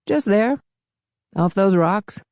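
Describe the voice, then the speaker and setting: A woman's voice: medium pitch, expressive, slow pace, a little mellow, no room sound, clean studio sound, pitched above the performer's own voice. A woman voicing a wealthy, dismissive male sailing host in an audiobook, with a flippant, offhand manner. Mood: casual.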